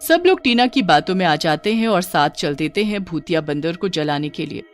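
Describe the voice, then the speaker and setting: जीवंत महिला कथावाचिका. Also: female voice, on the low side, lively intonation, moderate pace, faint music behind. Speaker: narrator. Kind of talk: scripted narration of children's horror story.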